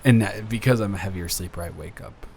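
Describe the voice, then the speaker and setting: A man playing a nervous, adoring young boyfriend in an improvised intimate roleplay audio, speaking in a halting, bashful, rambling way. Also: deep voice, darkish tone, a little hiss or hum. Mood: tender, rambling.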